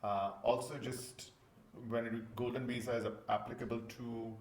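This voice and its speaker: A man's voice: medium pitch, level tone, moderate pace, darkish tone, slight background noise. A man from India speaking casually as a guest in an interview, recorded in an echoing room. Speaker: interview guest, golden visa client. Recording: roomy, echoing indoor sound.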